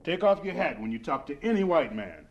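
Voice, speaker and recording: An actor playing a stern, pragmatic middle-aged college president in a radio drama, lecturing briskly with authority. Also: male voice, a little high, very animated, lo-fi sound. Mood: stern, cautioning.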